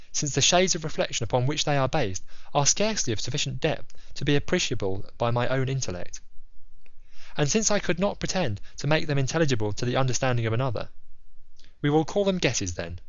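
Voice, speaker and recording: Guy doing a brainy formal detective for a mystery story, precise, lively up and down pitch, bit muffled. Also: no room sound. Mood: speculative, confident. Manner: precise, formal, deliberate reasoning. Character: C. Auguste Dupin, keen analytical detective in Paris, male. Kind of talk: dramatised gothic mystery storybook reading.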